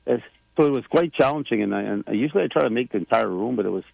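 A man's voice: medium pitch, expressive, rapid-fire, gravelly, a little mellow, dry recording, muffled recording. A man in his sixties chatting casually on the radio, rambling with many fillers and restarts. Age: 60s. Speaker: president of a government, radio guest.

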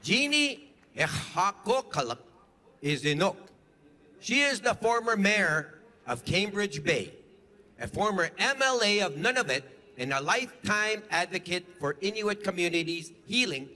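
Brief: male presenter, scripted and formal, high, bright tenor voice. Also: big pitch swings, measured pace.

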